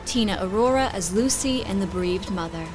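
A woman's voice: medium pitch, brisk, music in the background. A woman reading scripted end credits for a radio play, as the announcer.

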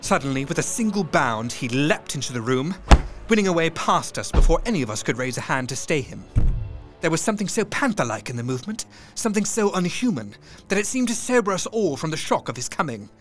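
A man narrating an audio drama in a tense, measured storytelling voice with hushed dips. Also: on the high side, sing-song, music in the background.

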